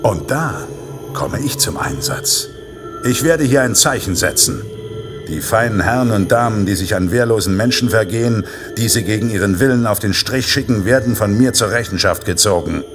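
An actor playing a vengeful vigilante killer in a Santa costume in a horror audio drama, speaking with deliberate, self-righteous menace. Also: male voice, fairly low pitch, lively intonation, music in the background, natural voice. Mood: grim, self-righteous.

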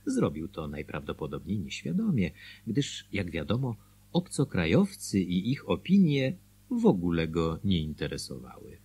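Former mayor narrator, radio drama, husky, lively intonation, measured storytelling. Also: male voice, deep voice, full character voice. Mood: wry, expressive. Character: former small-town mayor recounting the town's history.

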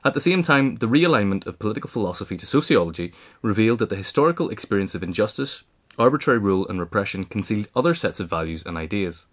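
A man reads a written history essay as an audiobook narrator. His voice is fairly low and he moves along quickly, keeping a formal, articulate delivery. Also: lively intonation, a bit bright. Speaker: audiobook reader.

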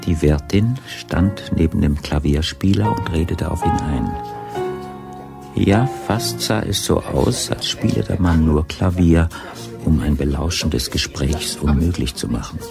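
An actor playing a sober narrator of a radio crime story, measured and matter-of-fact. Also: male voice, a bass voice, creaky, music in the background, full character voice.

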